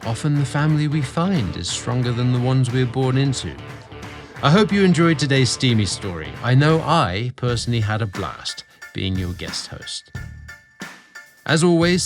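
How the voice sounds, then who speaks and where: A man's voice: mid-range voice, expressive, normal speed, soft background music. A man narrating a scripted romantic story as host, with a theatrical, sensual delivery.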